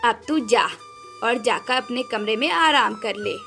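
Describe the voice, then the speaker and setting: A woman puts on an older village mother-in-law for a cartoon tale. The pitch is dropped well below her narrating voice. She sounds motherly, approving and welcoming. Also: a little slow, pushed and bright, faint music behind. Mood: warm, welcoming.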